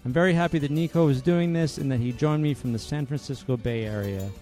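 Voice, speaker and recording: Male podcast host, mid-pitched, friendly and animated, faint music bed. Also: fast talker. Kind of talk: scripted intro, then casual interview chat.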